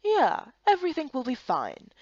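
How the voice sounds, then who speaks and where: Woman playing a bright-spirited, high-voiced young heroine. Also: moderate pace, no room sound, dull audio. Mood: exasperated. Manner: higher, louder, expressive. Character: spirited, curious young heroine of fantasy game.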